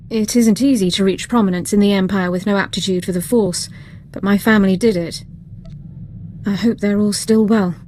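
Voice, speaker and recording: A woman's voice: medium pitch, darkish tone, a little hiss or hum, close to an everyday voice. A woman playing a formal, composed military officer and defector in a video game, speaking precisely. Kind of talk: video game dialogue acting.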